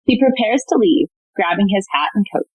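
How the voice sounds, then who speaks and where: A woman's voice: mid-range voice, lively intonation, a little fast, a little mellow, husky, no echo, muffled recording. A woman reading scripted narration and stage directions for an audio play.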